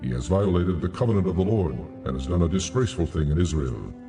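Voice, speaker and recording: A man playing a stern, commanding God in a dramatized scripture recording, speaking solemnly and deliberately with authority. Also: low voice, animated, far from the mic, music in the background. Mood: stern, condemning. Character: God, stern commanding deity rebuking his people. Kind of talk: dramatized scripture audio acting.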